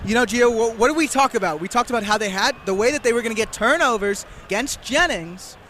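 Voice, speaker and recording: A man's voice: very high voice, quick pace, bright, forceful tone, slight background noise. A young man giving conversational football analysis as a student color commentator on a radio broadcast. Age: young adult. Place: football stadium broadcast position.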